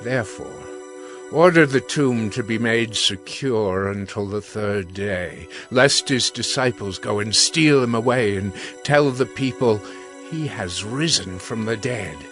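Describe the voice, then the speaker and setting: An actor playing a grave, scheming chief priest in a biblical audio drama, speaking formally and deliberately. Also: male voice, on the low side, fairly bright tone, soft background music. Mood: grave, urging.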